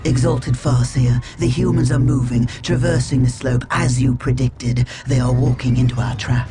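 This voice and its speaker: An actor playing a deferential alien elf warrior scout in a dramatic game cutscene. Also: male voice, medium pitch, fairly even intonation, normal speed, noisy background, lightly acted. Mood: eager.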